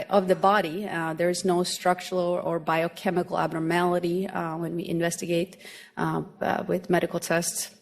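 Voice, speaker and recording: A woman from Finland with a Swedish accent, giving an academic medical lecture to a room of doctors in an explanatory, gently humorous way with frequent hesitations. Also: on the low side, creaky. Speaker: grand rounds lecturer, pediatric gastroenterologist.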